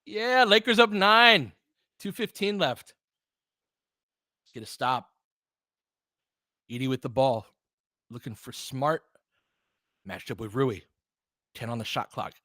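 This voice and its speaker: Male fan commentator, mid-pitched, calling basketball live in a loose, partisan, conversational way; close, dry recording. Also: expressive, takes it slowly, pauses often, pushed and bright, no room sound. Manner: informal, partisan fan talk with mild swearing. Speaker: fan play-by-play host.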